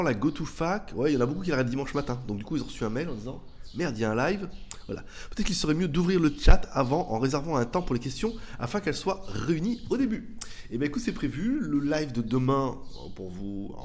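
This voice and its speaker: Male host with a head cold, mid-pitched, rambling casual slangy chat. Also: a little mellow, slight background noise. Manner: rambling, loose and chatty, with slang and swearing. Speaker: YouTube tech channel host answering viewer questions.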